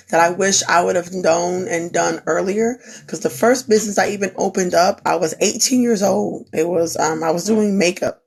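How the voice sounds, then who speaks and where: A woman's voice: fairly low pitch, a little mellow, breathy edge. A young woman who is losing her voice gives an earnest, repetitive pep talk on a live stream. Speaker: small business owner, crafter. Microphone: phone.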